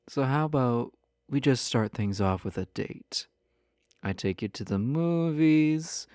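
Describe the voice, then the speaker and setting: An actor voicing a flirty, possessive husband in an intimate roleplay audio, teasing the listener with coy, mock-innocent pick-up talk. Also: male voice, fairly low pitch, vocal fry, darkish tone, no room sound, studio quality, exaggerated voice. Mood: playful flirting.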